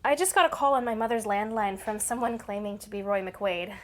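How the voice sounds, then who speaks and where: A young actress playing a sarcastic, guarded ex-actress daughter in an audio drama, with a dry, skeptical delivery. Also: female voice, mid-range voice, brisk, close to an everyday voice. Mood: hesitant, puzzled. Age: young adult.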